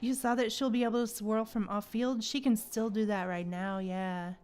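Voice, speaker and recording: A woman's voice: a little high, fairly even intonation, normal speed, darkish tone, clear, resonant tone, faint music behind. A woman hosting a casual gaming livestream, chatting with viewers and thinking aloud in a playful, conversational way. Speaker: livestream host.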